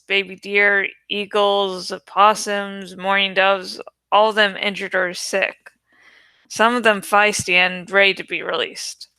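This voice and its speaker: A woman reading a story aloud as host, with measured, deliberate, expressive narration. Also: medium pitch, smooth, no echo. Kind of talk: expressive audiobook-style narration.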